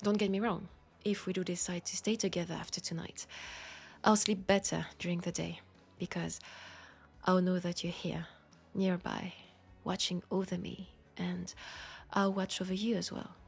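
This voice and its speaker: A woman playing a lonely, centuries-old female vampire in an intimate audio drama, with a French accent and a soft, confiding, unhurried delivery. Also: fairly low pitch, little pitch movement, faint music behind. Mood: intimate, seductive. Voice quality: soft, breathy, often dropping to a whisper. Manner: unhurried, confiding, pausing between phrases.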